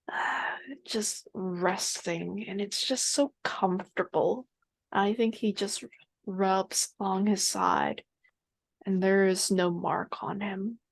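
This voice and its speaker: A woman plays a tabletop roleplaying game, describing a scene as she invents it. She speaks slowly, with plenty of vocal fry. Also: medium pitch. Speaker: player.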